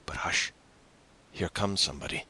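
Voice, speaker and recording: A man's voice: normal speed, bright, forceful tone, whispered. A man voicing an eager, generous young sailor in an audiobook, speaking earnestly and with animation. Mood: caring, insistent.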